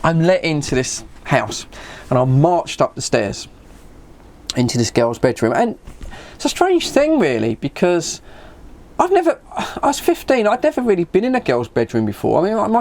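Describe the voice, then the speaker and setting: A man in his fifties with an English accent, telling a casual anecdote in a stammering, animated way as a vlog host. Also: fairly high pitch, moderate pace. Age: 50s. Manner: animated, stammering, acts out dialogue.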